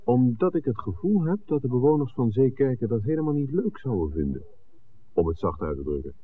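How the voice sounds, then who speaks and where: An actor playing a level-headed, tactful writer in a 1960s radio drama, dry and politely understated. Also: male voice, mid-range voice, big pitch swings, brisk, far from the mic, phone-quality sound, natural voice. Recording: thin, noisy old radio recording.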